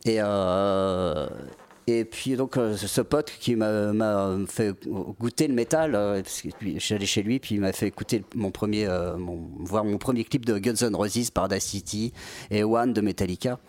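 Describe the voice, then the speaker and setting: A man in his forties from the Paris region, chatting casually as an interview guest, full of restarts and fillers and quick to laugh. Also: lowish voice, darkish tone. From Paris region, France. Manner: hesitant, many restarts and fillers, laughs often. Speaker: metal singer, guest.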